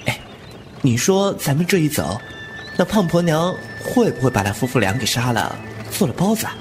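Man acting as a cheeky, animated young swordsman. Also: a little high, moderate pace. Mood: playful, sly. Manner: playful, colloquial, mock-grumbling. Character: cheeky, mouthy young martial-arts hero with roguish humour. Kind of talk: wuxia audio drama acting.

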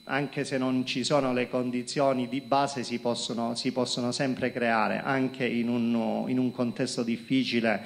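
Middle-aged man from Calabria, speaks at a lectern with a handheld mic, gravelly, stop-start with lots of fillers. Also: mid-range voice, little pitch movement. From Calabria, Italy. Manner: halting, many fillers and repeated words. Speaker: company executive presenting to students. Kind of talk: public talk at a lectern. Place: conference hall with projector screen. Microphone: handheld microphone.

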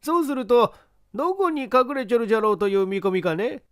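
A man's voice: very high voice, expressive, brisk, fairly bright tone, clean tone, no echo, studio quality, voice pushed up. A man voicing a pompous older police chief in an audiobook, with rustic, old-fashioned official speech. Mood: puzzled. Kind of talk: audiobook character acting.

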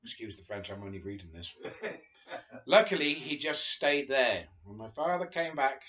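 A man's voice: medium pitch, sing-song, normal speed, a bit bright. An English man in his fifties reading a memoir aloud in an informal, amused way. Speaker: guest reader. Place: small living room, seated on a sofa. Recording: dull lo-fi video call audio.

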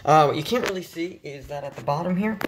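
A man in his thirties, hosting a home DIY video, explaining each step in a relaxed, casual way with a touch of self-deprecating humor. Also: a little high, fast talker, a little hiss or hum. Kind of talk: casual how-to narration. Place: home living room.

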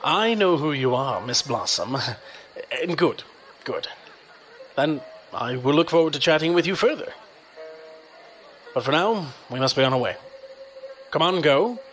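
Casting: male actor for condescending, menacing businessman crime lord, audio drama, lively intonation, music underneath. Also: a little high, measured pace, pauses often, low-quality recording. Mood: oily, ingratiating. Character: menacing, smooth-talking crime boss and businessman.

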